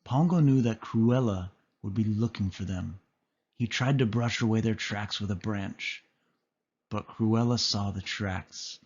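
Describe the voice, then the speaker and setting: A man reading a children's story aloud as an expressive narrator. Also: lowish voice, a little slow, husky, muffled recording. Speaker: storybook reader.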